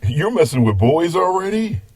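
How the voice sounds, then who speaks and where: A man playing a teasing, mischievous grandfather with a husky voice, telling a spooky bedtime tale. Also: fairly high pitch, very animated, moderate pace, crowd noise, voice pushed up. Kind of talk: acted bedtime storytelling.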